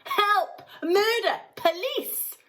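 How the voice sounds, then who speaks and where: A woman's voice: extremely high pitch, expressive, very slow, a bit bright, pitched above the performer's own voice, exaggerated voice. A woman voicing a frantic, bossy, outraged mother in a storybook, scolding and exclaiming shrilly. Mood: frantic screaming.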